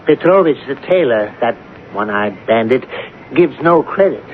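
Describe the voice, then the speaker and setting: A man's voice: mid-range voice, lively intonation, moderate pace, close to an everyday voice. An actor playing a tactful, deferential male office subordinate in a radio drama, speaking carefully and hesitantly. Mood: cautious, apologetic. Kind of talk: 1970s radio drama acting. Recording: tinny, noisy old radio recording.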